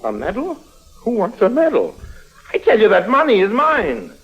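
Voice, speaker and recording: Flustered, animated grasping con man. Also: sits high, moderate pace, breathy edge, full character voice. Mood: indignant. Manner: hesitant, stammering, insistent. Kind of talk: 1950s radio drama acting. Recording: muffled old radio recording.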